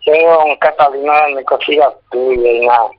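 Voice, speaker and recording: A man's voice: mid-range voice, steady tone, normal speed, no echo. A man calling in to a radio show, chatting casually over the phone. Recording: dry, tinny phone line on radio.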